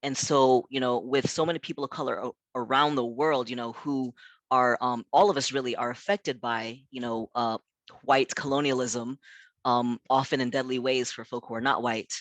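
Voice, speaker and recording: A man's voice: medium pitch, fairly even intonation, normal speed, fairly bright tone, no echo. Midwestern-accented man leading a chatty workshop. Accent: Midwestern American. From South Africa. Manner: chatty, peppered with "you know" and "right?"; earnest and emphatic. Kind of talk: conversational workshop presentation.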